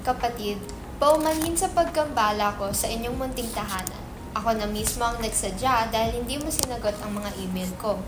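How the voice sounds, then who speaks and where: An imperious church minister, played by a woman in a dramatic reading, with a fairly high voice and formal, preachy delivery. The pompous, demanding speech is captured in an echoey room with clear background noise. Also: brisk, close to an everyday voice.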